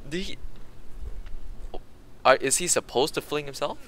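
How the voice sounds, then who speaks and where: A man's voice: fairly high pitch, fairly bright tone, a little hiss or hum. A young man from Malaysia, chatting casually and joking with his chat while livestreaming a game. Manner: goofy, rambling, quick jokes to chat. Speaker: streamer.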